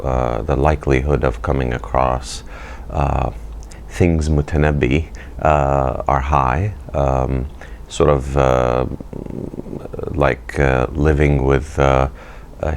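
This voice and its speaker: A middle-aged man with a very deep, gravelly voice speaks slowly, pausing often on "uh" and "um" as he thinks aloud. His talk is reflective and unhurried. A faint hiss sits under the recording. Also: a little mellow. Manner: halting, many fillers, thoughtful. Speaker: poet and physician. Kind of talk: recorded interview monologue.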